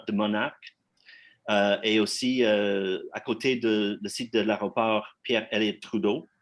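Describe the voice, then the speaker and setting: An anglophone man introduces a community conference evening. He speaks haltingly, restarting phrases and filling gaps, in a mid-pitched everyday voice. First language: English. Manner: halting, many fillers and restarts. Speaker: event host.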